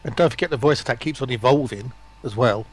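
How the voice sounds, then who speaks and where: A man's voice: mid-range voice, fast, a little hiss or hum, low-quality recording. A man taking part in a casual stream discussion as a guest, talking informally.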